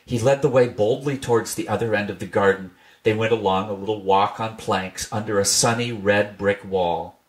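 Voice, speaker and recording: A man reading a classic children's storybook aloud in a gentle, measured storytelling manner. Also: on the low side, fairly even intonation. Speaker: reader.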